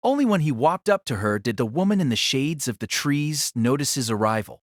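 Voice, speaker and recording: A man narrating a novel in a solemn, theatrical style with deliberate phrasing. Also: mid-range voice, lively intonation, dry recording, studio quality. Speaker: audiobook narrator. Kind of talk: dramatic scripted novel narration.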